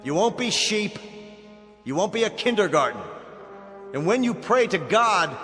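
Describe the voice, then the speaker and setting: A spaceship colonel, firm and urgent, with a high, animated delivery. It is dramatic radio play acting, set in long reverb over music. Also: male voice, measured pace, full character voice. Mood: impassioned.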